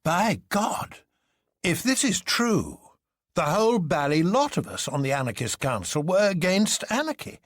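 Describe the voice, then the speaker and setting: Man acting an excitable high-pitched gentleman detective. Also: measured pace, no room sound, studio quality, full character voice. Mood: astonished, agitated. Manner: exclamatory, emphatic.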